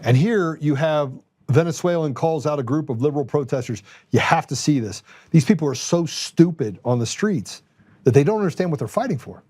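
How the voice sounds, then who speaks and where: Mellow-voiced, emphatic male talk show host. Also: on the high side, lively intonation, brisk. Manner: emphatic, repeats phrases for effect. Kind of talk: opinionated political commentary, introducing clips.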